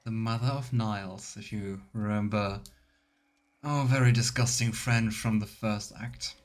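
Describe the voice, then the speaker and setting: A man's voice: lowish voice, fairly even intonation, measured pace. A man streaming a game with casual commentary, musing aloud and reacting as he plays. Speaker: streamer playing through a game. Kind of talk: casual let's play commentary. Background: faint game music.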